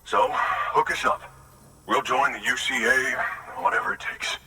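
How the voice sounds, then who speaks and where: A man's voice: mid-range voice, fairly even intonation, a little slow, sharp tone, recorded from a distance, slight background noise. An actor playing an anxious, grateful expectant father in a video game drama, speaking earnestly and urgently. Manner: earnest, urgent, breathy relief.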